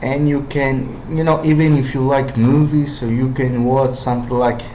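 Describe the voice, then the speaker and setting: A man's voice: medium pitch, level tone, normal speed, mellow, a little hiss or hum. A man with an Israeli accent and Hebrew as his first language, giving language-learning advice in a hesitant, casual monologue full of fillers and restarts. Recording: roomy echo.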